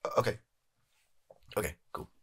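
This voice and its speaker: A young British man playing a shameless, evasive energy company boss in an improvised comedy monologue, speaking softly with a halting, deadpan manner. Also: close to an everyday voice. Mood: smooth self-justifying. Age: young adult. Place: small home bedroom studio.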